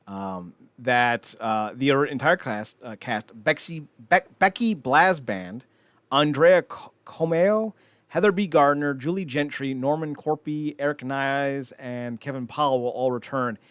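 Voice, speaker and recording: A man's voice: mid-range voice, a little slow, fairly bright tone, smooth, no room sound. A man hosting a podcast with casual, comedic chat, riffing and swearing freely. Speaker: podcast host.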